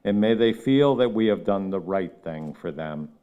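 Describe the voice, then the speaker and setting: A man leading a solemn opening reflection at a ceremony, speaking in a measured, reverent way that falls at the end of each phrase. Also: low voice, expressive, dark tone, smooth, lo-fi sound. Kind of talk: solemn spoken prayer. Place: ceremony at a medical center, crowd gathered.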